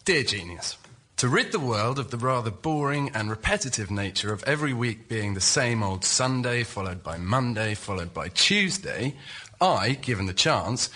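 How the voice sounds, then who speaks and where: A man with an English accent, a contestant pitching a comic idea on a panel show. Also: sharp tone. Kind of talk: reading out a comic pitch, then chatting.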